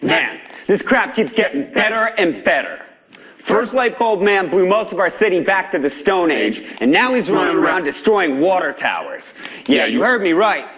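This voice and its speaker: A man's voice: high-pitched, very animated, moderate pace, a bit bright. A man with an American accent voicing a fiery, angry and defiant underground broadcaster in a video game, speaking in an emphatic, streetwise, preachy way. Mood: scornful. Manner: emphatic, streetwise, preachy, swings between outrage and gravity. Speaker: pirate broadcaster. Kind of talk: video game voice acting, pirate broadcast monologue.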